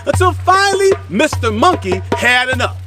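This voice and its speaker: A male host and storyteller with an unusually high voice. He delivers in a theatrical, sing-song way, his pitch swinging widely, with loud music behind him. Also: big pitch swings, moderate pace. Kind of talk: animated children's storytelling.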